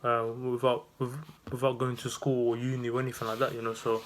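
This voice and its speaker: A man in his early twenties from the Netherlands, talking straight to camera with no notes. He rambles and restarts often, filling gaps with "um" and "you know", in a mid-pitched, rather flat voice. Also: brisk, slight background noise. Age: 20s. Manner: rambling, many fillers and restarts. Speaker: vlogger and new business owner. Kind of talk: unscripted casual vlog.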